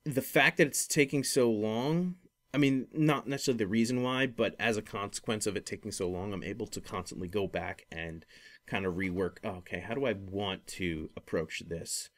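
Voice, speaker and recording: A man talking casually on a podcast about stories, halting and rambling as he thinks aloud and often interrupts himself. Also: lowish voice. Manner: informal, self-interrupting, profanity-laced. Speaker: podcast speaker, comic creator. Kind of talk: casual podcast discussion of storytelling.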